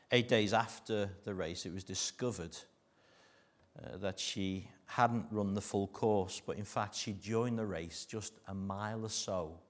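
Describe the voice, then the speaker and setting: Scouse preacher with a joking, earnest manner. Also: male voice, lowish voice. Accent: Liverpool. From Liverpool, England. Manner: jokey asides, then earnest and dropping to a hush. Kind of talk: sermon.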